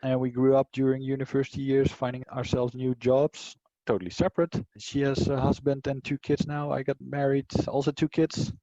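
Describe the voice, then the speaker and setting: Dutch-accented man in his thirties, interview guest, warm dark tone, dry lo-fi sound. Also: mid-range voice, little pitch movement, brisk, no echo. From Netherlands. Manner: thoughtful, with frequent fillers and restarts. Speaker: interview guest, martial arts teacher. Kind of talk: casual conversation.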